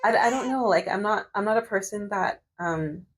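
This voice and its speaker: A woman's voice: mid-range voice, moderate pace, husky. A woman in her thirties vlogging while doing her hair, chatting casually with lots of fillers and restarts. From Canada. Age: 30s. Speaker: medical student and vlogger.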